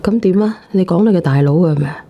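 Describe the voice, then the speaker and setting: A woman's voice: fairly low pitch, fast talker, warm tone, slight background noise. A woman with a Cantonese accent hosting a phone-in, bluntly prodding a caller with casual questions. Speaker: phone-in show host.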